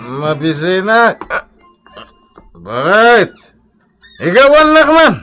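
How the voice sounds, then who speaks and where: An actor playing a cheeky, easily offended drunkard husband in a radio comedy, whining and drawling with an Ilocano accent. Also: male voice, high-pitched, wildly swooping pitch, extremely slow, music in the background, exaggerated voice. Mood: tipsy, jolly. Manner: drawling exclamations and tipsy laughs.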